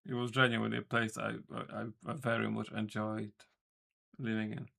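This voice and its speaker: A man's voice: on the low side, flat delivery, moderate pace, vocal fry, pushed and bright. A man streaming as a game developer, chatting casually with his viewers in a rambling way, correcting himself and swearing offhandedly. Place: home room.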